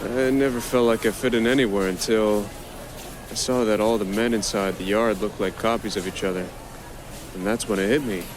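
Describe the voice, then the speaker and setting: A man's voice: lowish voice, steady tone, normal speed, a little hiss or hum. A man performing wry, theatrical first-person narration in an audio drama. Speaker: actor performing a one-man audio play.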